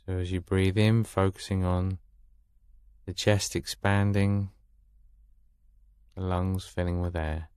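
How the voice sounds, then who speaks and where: Deep-voiced man guiding a calm meditation. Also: clear, resonant tone, no room sound, studio quality. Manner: calm, soothing instruction with long pauses. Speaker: meditation guide. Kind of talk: guided meditation.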